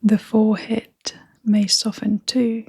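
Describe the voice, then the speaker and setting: Slow, breathy woman guiding meditation. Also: mid-range voice, flat delivery. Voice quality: soft, breathy, half-whispered. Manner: gentle, unhurried, with long pauses. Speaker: meditation guide. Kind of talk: gentle guided meditation.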